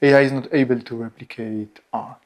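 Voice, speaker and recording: Halting interviewer, medium pitch. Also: steady tone, a little mellow. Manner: halting, searching for words. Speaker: interviewer host. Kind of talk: casual interview questions.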